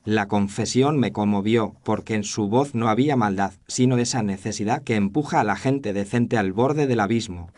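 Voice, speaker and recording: A man's voice: lowish voice, normal speed, hardly any pauses, darkish tone, lightly acted. A man narrating as a thoughtful doctor recounting a case in an audio drama, in a reflective, literary manner. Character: thoughtful male doctor narrating his memoir. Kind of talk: scripted narration.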